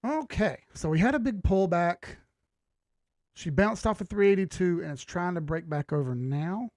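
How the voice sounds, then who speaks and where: Gravelly, higher-pitched man streaming casually. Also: expressive, normal speed, darkish tone, no echo, clean studio sound. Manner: informal, slangy, thinking aloud. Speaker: host of a live market analysis stream. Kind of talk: casual live chart commentary.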